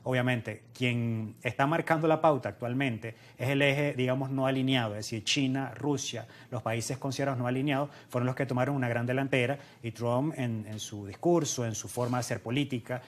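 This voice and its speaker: A man's voice: medium pitch, steady tone, fairly quick, few pauses. A man speaking as an economist and interview guest, giving explanatory analysis and repeating phrases in lists for emphasis.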